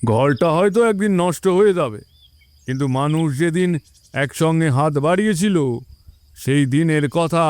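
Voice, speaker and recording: A man voicing a wise, kindly village headman, speaking solemnly in an animated moral story. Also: on the high side, moderate pace, smooth, no room sound, lightly acted.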